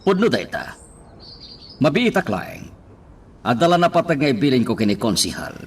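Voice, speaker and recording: An actor playing an authoritative boss giving orders to staff in a radio drama. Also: male voice, mid-range voice, big pitch swings, moderate pace, slightly rough. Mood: businesslike.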